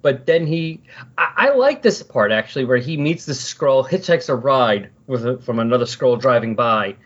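A man with a husky voice at a middling pitch talks casually, as a podcast co-host would. He moves easily between chat and reading aloud. The recording is a little dull and lo-fi. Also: lively intonation, normal speed. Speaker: co-host of a comic book discussion podcast. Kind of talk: casual comic book discussion, reading dialogue aloud.

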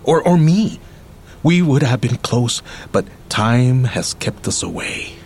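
An American man in middle age acting a world-weary, menacing yet tender ex-convict uncle in a radio drama, with a rough, husky voice. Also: medium pitch, sing-song, slow pace, a little mellow, a little hiss or hum, heavily acted. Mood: teasing, animated.

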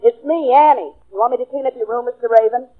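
An actress playing a complaining, indignant boarding-house cleaner in an old-time radio drama. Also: female voice, a little high, fast talker, narrow, tinny audio, close to an everyday voice. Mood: grumbling. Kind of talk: 1940s radio acting.

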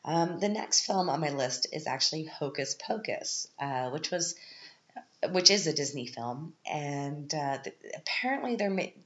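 Deep-voiced congested woman, chatty. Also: expressive, measured pace, fairly bright tone. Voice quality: scratchy. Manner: chatty and rambling, with frequent laughs. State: stuffed up, congested. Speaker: podcast host. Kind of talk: casual chat. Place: at home. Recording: roomy, dull lo-fi sound.

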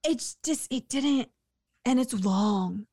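A woman in a casual movie review chat, talking with lots of fillers and quick laughs. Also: high voice, animated, warm tone. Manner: chatty, laughs often, many fillers.

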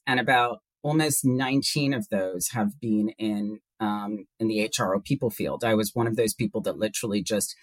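A man's voice: on the low side, normal speed, hardly any pauses, dry recording, poor audio. A man in his forties from Hong Kong with a mixed British-leaning international accent, a human resources executive answering interview questions thoughtfully, with many fillers. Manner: thoughtful, hesitant with many fillers, laughs easily. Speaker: HR executive guest.

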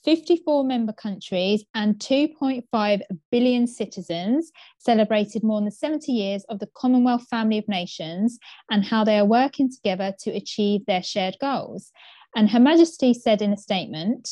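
An English woman co-hosting a casual podcast in a middle-pitched voice, recorded dry and close. She is chatty and agreeable, keeping a relaxed conversational flow. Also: normal speed, no room sound. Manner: chatty, agreeable, lots of "you know". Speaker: podcast co-host. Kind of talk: casual chat.

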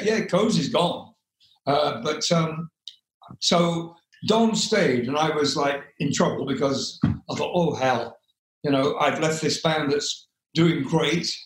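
An older English man with a loud speaking voice, telling rambling stories as an interview guest, with many fillers and frequent laughs. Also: on the high side, roomy sound, rough recording. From England. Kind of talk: relaxed storytelling interview.

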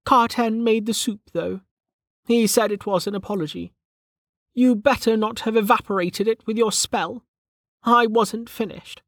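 A woman's voice: a little high, unhurried, fairly bright tone, no echo, studio quality, voice pushed up. A woman voicing a bitter, weary, hot-tempered and foul-mouthed woman in an audiobook, snappish and scornful. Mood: weary, grudging.

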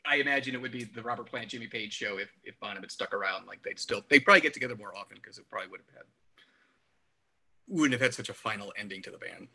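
A man chatting casually about music as a podcast panelist, offering anecdotes and quick interjections. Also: fairly low pitch, vocal fry, sharp tone, breathy edge, low-quality recording. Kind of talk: casual music album discussion.

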